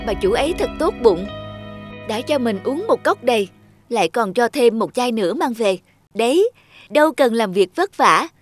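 A woman voicing a lazy, dreamy young man in a cartoon, a breezy flatterer given to exclamations. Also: on the high side, dry recording. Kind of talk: scripted cartoon dubbing.

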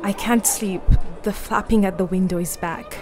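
A woman acting a frail young gentlewoman in a Gothic radio drama. She is gentle and frightened but brave, speaking at a middle pitch with faint music behind her. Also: fairly even intonation, moderate pace, lightly acted. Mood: weary, fearful. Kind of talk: radio play acting, partly diary narration.

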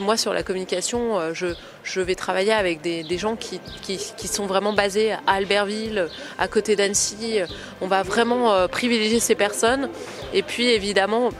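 Woman explaining into a handheld mic, music faint. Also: medium pitch. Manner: explanatory, gesturing, with fillers. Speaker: communications manager. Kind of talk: on-camera interview. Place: outdoors at a ski event.

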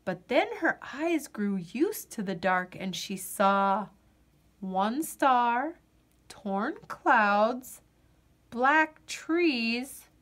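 A woman reading a children's picture book aloud, dramatic and deliberate, with drawn-out pauses. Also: a little high, expressive, fairly bright tone. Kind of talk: expressive children's storybook narration.

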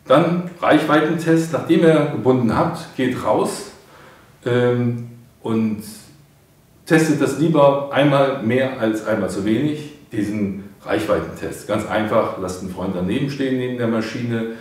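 A man's voice: medium pitch, unhurried, a little mellow. A middle-aged man reviewing a product at a studio desk, casually walking viewers through it step by step. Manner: informal, explains step by step to viewers. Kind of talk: casual product demo for hobbyists. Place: indoor studio desk with painted backdrop. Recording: very echoey room, mic far off.

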